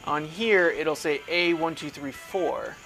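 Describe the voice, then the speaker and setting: A man with a voice pitched a little above average leads a casual, hands-on technical lesson. He talks through each step as he does it, often posing a question and then answering it himself. Music plays behind his voice. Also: takes it slowly, creaky, a bit bright, poor audio. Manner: informal, self-questioning, explains aloud while demonstrating. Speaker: instructor. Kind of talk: casual step-by-step tech tutorial.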